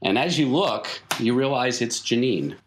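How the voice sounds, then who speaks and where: A man's voice: mid-range voice, animated, moderate pace. A man narrating a tabletop role-playing game as the game master.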